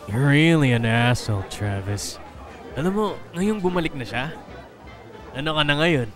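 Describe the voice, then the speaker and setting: A man's voice: mid-range voice, animated, a little slow, over music, crowd noise, close to an everyday voice. A man playing a cocky, swaggering rich young man in a radio serial, with a casual drawl. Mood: teasing. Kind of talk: radio serial drama acting.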